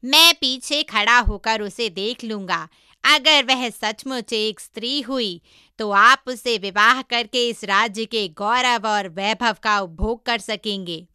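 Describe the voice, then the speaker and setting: A woman's voice: a little high, slow, pushed and bright, clear, resonant tone, dry recording, clean studio sound, heavily acted. A woman voicing a clever, cheeky male monkey disciple in a storybook tale, speaking emphatically and cajolingly. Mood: scheming.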